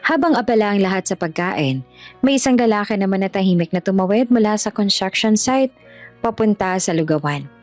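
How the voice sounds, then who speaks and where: A woman's voice: medium pitch, expressive, brisk, darkish tone. A woman storyteller reading scripted narration for an audio drama. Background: music.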